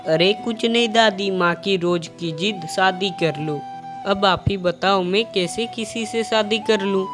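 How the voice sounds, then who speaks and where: A woman's voice: mid-range voice, unhurried, close to an everyday voice. Cartoon son: young man, caring and earnest, voiced by a woman; music bed.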